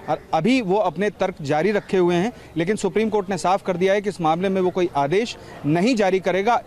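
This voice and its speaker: A man in his forties, a field reporter speaking into a handheld mic outdoors, explaining a court ruling in a measured way. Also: high-pitched, darkish tone. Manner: measured explanatory, glossing terms. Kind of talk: live reporting from outside a court. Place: outdoors by a street. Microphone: handheld reporter microphone.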